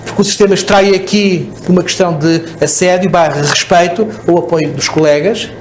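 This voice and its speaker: A man's voice: high voice, moderate pace, a little hiss or hum. European Portuguese man giving a conference talk. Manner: explanatory, frequent "ok?" checks and restarts. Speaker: speaker at a conference. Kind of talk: conference presentation. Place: conference hall.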